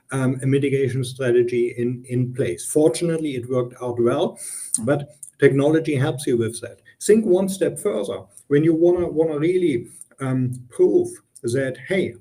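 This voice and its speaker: German-accented man, mellow explanatory voice. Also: a little high. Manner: earnest, explanatory, frequent ums and restarts. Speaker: guest consultant. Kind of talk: business interview.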